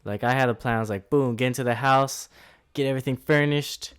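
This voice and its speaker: A man's voice: medium pitch, a little fast, smooth. A man in his twenties, co-hosting a podcast in a laid-back, rambling way, often laughing. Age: 20s. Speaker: podcast co-host. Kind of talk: casual chat.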